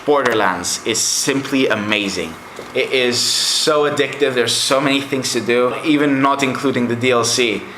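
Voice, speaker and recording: A man's voice: medium pitch, moderate pace, noisy background. A young man whose first language is Greek gives a casual, rambling review of his game collection, with many fillers. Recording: echoey room.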